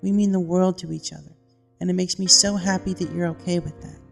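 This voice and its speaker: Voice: medium pitch, fairly even intonation, fairly quick, creaky, fairly bright tone, big-room sound, soft background music. A narrator voicing an earnest young female pegasus reading out heartfelt storybook letters. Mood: earnest, reconciling.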